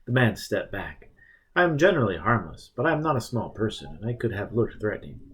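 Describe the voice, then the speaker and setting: Husky, expressive male audiobook reader. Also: lowish voice, a little fast. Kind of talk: dramatic first-person scripted narration.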